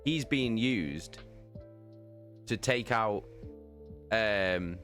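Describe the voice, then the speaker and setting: A man's voice: on the low side, very slow, fairly bright tone, faint music behind. A man, chatting loosely through a book recap on a livestream, with fillers and the odd swear word. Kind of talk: casual book recap chat.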